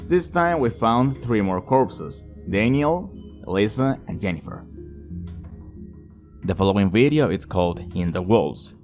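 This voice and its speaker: A man's voice: on the low side, lively intonation, measured pace, a little mellow, soft background music. A man hosting a horror video review, moving between scripted narration and casual commentary. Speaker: host of a video reviewing an analog horror series.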